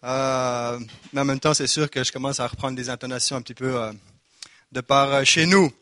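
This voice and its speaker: A man from Quebec with a Québécois French accent, preaching a lively sermon in a church, full of rhetorical questions and restarts. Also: medium pitch, moderate pace, dry recording.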